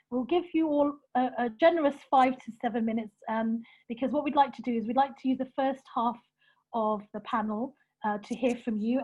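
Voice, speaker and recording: A woman hosting an online panel discussion, introducing the speakers in an earnest, measured way with frequent fillers, over a video call. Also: on the high side, a little mellow, dull audio. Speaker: panel chair and host.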